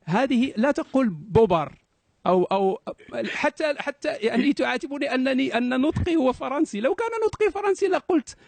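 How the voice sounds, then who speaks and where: A man with a high-pitched voice argues in a live debate. He talks quickly, with a sarcastic, protesting edge, and the sound is close and dry. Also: expressive, vocal fry, dry recording. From Morocco.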